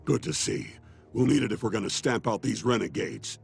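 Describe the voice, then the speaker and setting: An actor playing a gruff veteran beast-warrior commander in a video game, gravelly and blunt as he gives orders. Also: mid-range voice, lively intonation, moderate pace, soft background music. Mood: gruff, wry. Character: gruff veteran charr war master, brusque and commanding.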